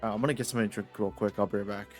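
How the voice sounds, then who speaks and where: A man casually commenting while streaming a video game, with relaxed, offhand remarks. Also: on the low side, very fast, soft background music.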